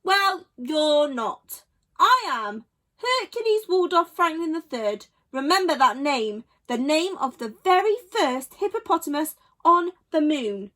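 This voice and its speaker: A pompous, wealthy male hippo from a picture book, performed by a woman storyteller. He boasts and blusters in indignation, stretching his words slowly. The pitch leaps high and swings widely. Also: big pitch swings, sharp tone, exaggerated voice. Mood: indignant, haughty. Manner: pompous, drawn-out indignant declaiming.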